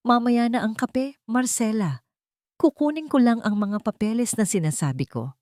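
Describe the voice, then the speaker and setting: A woman voicing a forceful, emotional millionaire son in an audio story. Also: on the high side, expressive, moderate pace, no room sound, studio quality, pitched above the performer's own voice, natural voice. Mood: firm, angry. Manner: commanding, emphatic, anguished. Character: angry, forceful millionaire son, an adult man.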